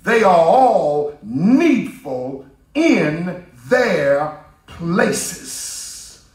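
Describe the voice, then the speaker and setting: A middle-aged man preaching a sermon from a pulpit microphone in a church, building his cadence up to shouts. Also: high-pitched, big pitch swings, very slow. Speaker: pastor. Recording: roomy church from a distance.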